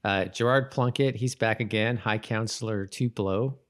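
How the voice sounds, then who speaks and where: A man co-hosting a podcast in a casual, chatty style, often halting and rephrasing as he goes. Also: lowish voice. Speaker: podcast host. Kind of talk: casual co-hosted chat about a TV show.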